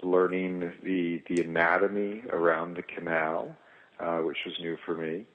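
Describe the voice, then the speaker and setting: A man speaking as a surgeon on a conference call, explaining his clinical experience in halting phrases with pauses. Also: sits low, level tone, narrow, tinny audio. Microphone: telephone. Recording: thin band-limited telephone conference line.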